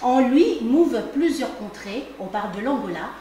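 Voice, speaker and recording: A woman's voice: on the high side, animated, moderate pace, dark tone. A woman hosting a talk show, introducing her guest and asking playful questions. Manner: playful, teasing. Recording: very echoey room with faint noise.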